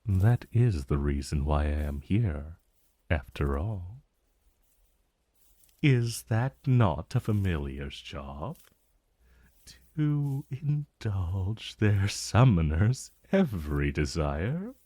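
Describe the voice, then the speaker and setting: A man's voice: deep voice, very animated, mellow, dry recording, heavily acted. A man voicing an ancient dragon familiar in a romantic fantasy ASMR roleplay, possessive and silkily tender with a vague menace, murmuring formally and seductively. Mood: silky, indulgent. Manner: slow, formal, seductive murmuring with lingering pauses.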